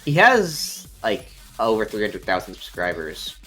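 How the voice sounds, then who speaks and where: A man's voice: lowish voice, huge pitch swings, measured pace, fairly bright tone, faint music behind. A young man with a nasal voice, chatting casually on a live stream, rambling with many ums and restarts. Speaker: stream co-host.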